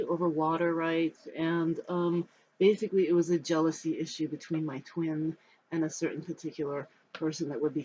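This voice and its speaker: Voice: medium pitch, a little mellow. American in their fifties, dull noisy recording. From Los Angeles, United States. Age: 50s. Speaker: spiritual storyteller. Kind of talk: personal talk to camera, answering questions. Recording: dull, muffled sound with background noise.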